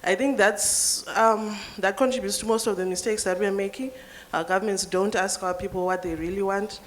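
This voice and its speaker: A woman's voice: medium pitch, steady tone, a little fast, slight background noise. A woman from Zimbabwe in the audience, making an earnest, critical point during a panel Q&A. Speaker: audience member asking a question.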